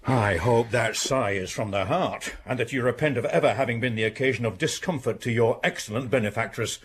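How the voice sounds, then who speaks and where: Guy doing a cold stern churchy school patron, slow preachy lines, radio drama. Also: mid-range voice, slight background noise, close to an everyday voice. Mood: preaching. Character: stern, pious male school patron, middle-aged.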